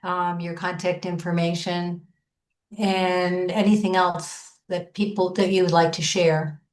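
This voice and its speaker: Calm female call host, slow delivery, lower voice, echoey room. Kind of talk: casual chat on a video call.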